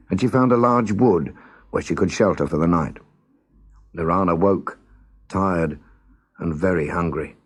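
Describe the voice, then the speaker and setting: A man narrating a scripted children's story as a storyteller, expressive, measured and dramatic. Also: sits low.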